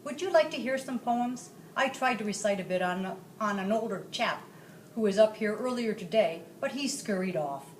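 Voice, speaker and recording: A woman's voice: mid-range voice, expressive, moderate pace, close to an everyday voice. A woman voicing a genteel, cultured male dragon in a children's storybook, chatty and flowery in manner. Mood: cheerful. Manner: chatty, flowery, self-satisfied. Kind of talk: children's storybook read-aloud. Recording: roomy, distant mic, faint noise.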